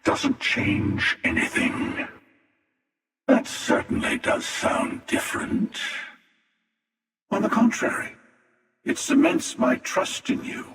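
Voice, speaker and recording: An actor playing a cynical, confident older space villain in a video game, wry and taunting, with a gravelly voice. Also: male voice, extremely high pitch, expressive, slow pace, pauses often, fairly bright tone, full character voice. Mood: wry, dismissive. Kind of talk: video game character voice lines. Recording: very echoey hall reverb, distant.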